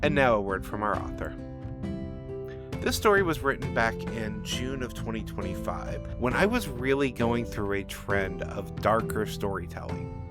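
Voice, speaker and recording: A man's voice: on the low side, lively intonation, normal speed, loud music behind the voice. A man reading scripted fiction and notes as author and narrator.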